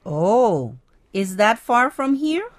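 A woman's voice: fairly high pitch, big pitch swings, slow pace, no echo, studio quality, heavily acted. Teen schoolgirl, acted by a woman, chirpy textbook dialogue. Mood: cheerful. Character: cheerful teenage schoolgirl, sixteen.

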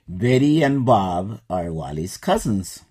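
A man, teaching an English lesson in a slow, patient scripted style. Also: fairly low pitch, very animated, a little mellow, breathy edge. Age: 60s.

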